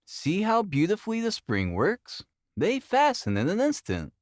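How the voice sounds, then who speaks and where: A man's voice: high-pitched, huge pitch swings, measured pace, dry recording, clean studio sound, pitched above the performer's own voice, heavily acted. A man voicing a shrewd, commanding detective in an audiobook, speaking precisely and formally with great self-assurance. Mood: bland, pleased. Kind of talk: audiobook character dialogue.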